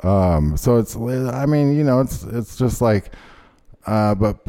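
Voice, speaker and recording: A man's voice: mid-range voice, animated, takes it slowly, warm tone. A man hosting a talk show, ranting in a rambling, indignant way with lots of fillers. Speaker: show host. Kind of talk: ranting casual chat.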